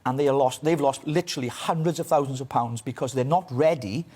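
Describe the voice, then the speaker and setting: A man's voice: medium pitch, mellow, slightly rough. A Welsh man giving forthright, opinionated political commentary in a radio interview. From Wales. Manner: forthright, opinionated, quick delivery.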